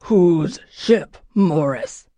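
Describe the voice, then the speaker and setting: A woman voicing a stern middle-aged male ship captain, speaking in low, clipped, menacing commands for an audiobook. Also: expressive, moderate pace, mellow, no room sound, natural voice. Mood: shouting. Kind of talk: audiobook character voice.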